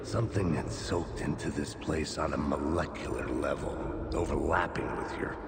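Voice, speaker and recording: An actor playing a grim, world-weary detective in a video game, delivering a brooding, poetic noir monologue in a gravelly voice. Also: male voice, very deep voice, normal speed. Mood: brooding, ominous. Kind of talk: noir inner monologue, scripted narration. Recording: long reverb, loud ambient noise.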